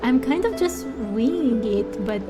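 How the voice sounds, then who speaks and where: High-voiced woman gamer thinking aloud. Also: animated, measured pace, loud music behind the voice. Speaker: gamer and fan voice actor. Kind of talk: casual let's play commentary.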